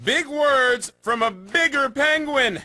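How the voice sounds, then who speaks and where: Brief: a penguin sports anchor, very high and bouncy, with wry broadcast banter over music. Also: male voice, lively intonation, takes it slowly, sharp tone, heavily acted. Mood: chirpy. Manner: wry, upbeat newscaster banter. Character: bright chatty male penguin TV sports reporter. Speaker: news anchor. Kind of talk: game cutscene broadcast patter. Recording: music bed behind.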